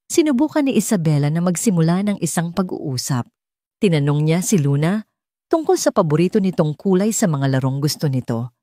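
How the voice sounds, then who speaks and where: Casting: female audiobook narrator for romance drama, low-pitched, dark warm tone, dry close recording. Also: no room sound. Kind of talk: scripted romance story narration.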